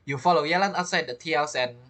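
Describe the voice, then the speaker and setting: A man's voice: a little high, fast talker, background noise. A young man giving chatty, playful commentary over a game playthrough. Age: young adult. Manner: chatty, playful, reacts to the characters. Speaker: gaming streamer.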